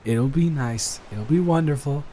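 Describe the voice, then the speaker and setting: A man playing a nervous, adoring young boyfriend in an improvised intimate roleplay audio, speaking in a halting, bashful, rambling way. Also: medium pitch, dark tone, slight background noise, natural voice. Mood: nervous, teasing.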